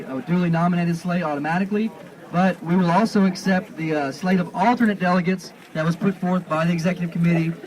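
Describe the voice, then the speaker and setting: A man with a slightly high voice chairs an outdoor assembly. He speaks in formal procedural phrases, deliberate and a little halting, as he addresses the gathering. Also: darkish tone, a little hiss or hum, low-quality recording. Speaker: convention chair running a meeting. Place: outdoors at a parking lot gathering.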